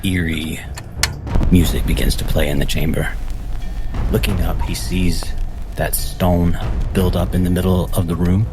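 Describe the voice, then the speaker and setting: A man's voice: sits low, level tone, measured pace, long pauses, background noise. A man playing a tabletop role-playing game, narrating his character's moves.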